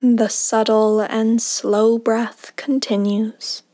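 A woman guiding a meditation in a soothing, unhurried manner, leaving long pauses between short phrases, with soft, breathy endings. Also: on the high side, flat delivery, no echo. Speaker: meditation guide. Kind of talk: guided meditation narration.

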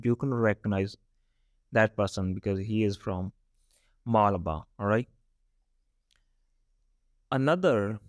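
A man's voice: medium pitch, dry recording, clean studio sound. A young man from Punjab with a Punjabi accent, hosting a casual spoken lesson podcast and often checking in with "right?" between his points. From Punjab, India. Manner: chatty, often adds "right?" and "all right?". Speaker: podcast host teaching Punjabi.

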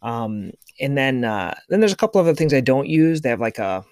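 A man's voice: medium pitch, big pitch swings, fairly quick, darkish tone, no room sound, clean studio sound. A middle-aged man hosting a podcast, talking casually in a rambling way with many restarts and fillers. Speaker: podcast host, podiatrist.

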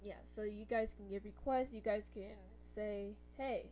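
A young woman hosting a podcast in casual chat, rambling and correcting herself, a little hoarse from losing her voice. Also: mid-range voice, steady tone, a little slow, warm tone, highs cut off, a little hiss or hum. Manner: rambling, self-correcting, exasperated ranting. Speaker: podcast host.

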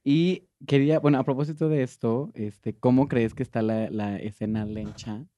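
A man with a Guatemalan accent hosting a podcast, playful and campy, firing off quick questions to his guest. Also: medium pitch, dark tone. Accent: Guatemalan Spanish. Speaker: podcast host. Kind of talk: casual podcast interview.

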